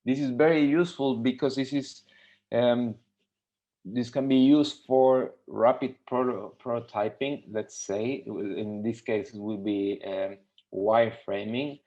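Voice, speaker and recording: A man's voice: mid-range voice, fairly even intonation, a little slow, warm tone. A man from Mexico, a UX designer, teaching a live webinar tutorial with many fillers and restarts. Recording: dull lo-fi webinar audio.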